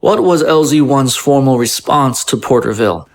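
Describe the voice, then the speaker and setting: A man's voice: mid-range voice, moderate pace, close to an everyday voice. A man playing a conciliatory government official in an audio drama, arguing in a formal, articulate, persuasive manner. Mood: diplomatic. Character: smooth-talking, conciliatory male government official, argumentative.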